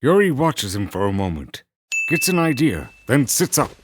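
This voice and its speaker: A man's voice: medium pitch, sing-song, moderate pace, background noise. A man narrating a scripted story as a dramatic narrator. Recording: music behind the voice. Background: clear background music.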